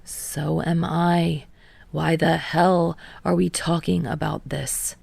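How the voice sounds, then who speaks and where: A woman's voice: deep voice, level tone, slow, creaky, a little mellow, breathy edge, no room sound, heavily acted. A woman voicing a sarcastic, defensive teenage boy with a dry, drawling, snappish delivery in a story reading. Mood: evasive.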